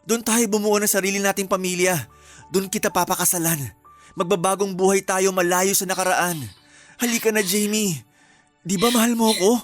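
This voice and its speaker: Actor as high-pitched desperate Filipino groom. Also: male voice, fairly even intonation, moderate pace, close to an everyday voice. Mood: urgent. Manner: urgent, pleading. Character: desperate groom begging his lover to elope. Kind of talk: radio drama acting. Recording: music bed under the voice.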